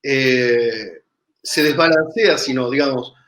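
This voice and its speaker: A man's voice: mid-range voice, takes it slowly, fairly bright tone, roomy sound. A man answering as a guest author in an interview, hesitating with many fillers.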